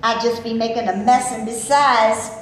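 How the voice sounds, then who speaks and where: A woman's voice: a little high, fairly even intonation, moderate pace, lightly acted. Woman acting an outspoken, indignant maid in an echoey room. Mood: bitter, angry. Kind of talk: staged reading of a stage play. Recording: echoey room, faint noise, live stage.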